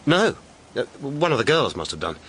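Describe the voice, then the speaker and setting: An actor playing an earnest, guarded lieutenant in a British radio detective drama, English, clipped and precise. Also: male voice, medium pitch, big pitch swings, moderate pace, a bit bright. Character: earnest, guarded male lieutenant turned amateur investigator. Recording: clear background noise. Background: ambient noise.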